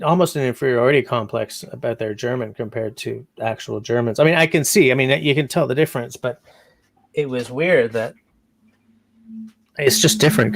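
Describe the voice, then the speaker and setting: A man's voice: mid-range voice, vocal fry, no echo. A man hosting a casual livestream, meandering as he reads out chat comments. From Canada. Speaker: livestream host.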